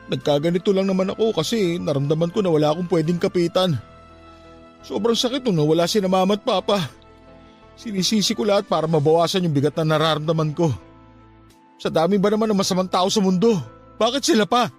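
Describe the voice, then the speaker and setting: A man's voice: sits high, quick pace, faint music behind. A man playing a troubled, stubborn, grieving young nephew in an emotional Tagalog radio drama. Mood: anguished. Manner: emotional, questioning.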